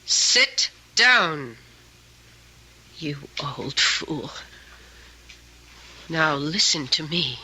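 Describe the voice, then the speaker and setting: Sharp-voiced, menacing young drifter woman. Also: low voice, very animated, extremely slow, pauses often, slightly rough, full character voice. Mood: angry, domineering. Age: young adult. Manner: theatrical, commanding, taunting. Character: domineering, menacing young female drifter, sly and mocking. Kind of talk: old-time radio drama acting. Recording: noisy old radio drama recording.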